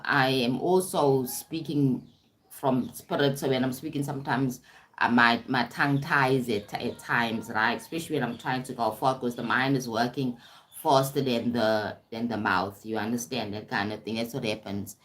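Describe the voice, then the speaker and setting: A woman's voice: a bass voice, steady tone, normal speed. A woman hosting a casual self-development livestream, chatty, often stumbling over words and checking in with viewers. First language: English. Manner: warm-toned chatty, calls viewers "sweetie pie", frequent "right?" and restarts. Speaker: livestream host.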